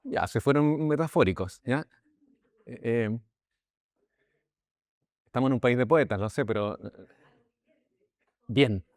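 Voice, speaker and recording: A man's voice: mid-range voice, slow, pauses often, no room sound, studio quality. A man with a Chilean accent, a pastor giving an informal Bible lesson in a conversational way, often checking in with his listeners and restarting his sentences. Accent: Chilean Spanish. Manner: many restarts and repeated words. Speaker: pastor teaching a Bible class.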